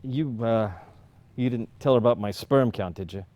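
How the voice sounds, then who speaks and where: Jokey, folksy 1950s husband played by an actor. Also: male voice, mid-range voice, normal speed, dark tone, lightly acted. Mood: uneasy, tender. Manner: joking, folksy. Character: jokey, easygoing 1950s working husband, a bit insecure. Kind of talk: staged play reading.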